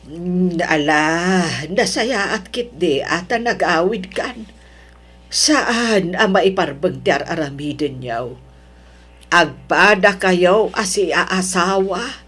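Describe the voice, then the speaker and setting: A woman with an Ilocano accent playing a protective older mother, fretting and gently scolding in a melodrama. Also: on the low side, lively intonation, slow pace, husky, heavily acted. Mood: indignant, concerned. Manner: fretful, motherly, exhorting. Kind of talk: Ilocano radio-style melodrama acting.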